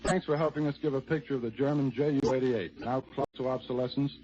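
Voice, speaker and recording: Wry American radio reporter, noisy vintage recording. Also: male voice, mid-range voice, fairly even intonation, fast. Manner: wry, dryly humorous. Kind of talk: scripted 1940s radio war report and interview. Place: on an airfield flight line. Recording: noisy, muffled 1940s radio broadcast.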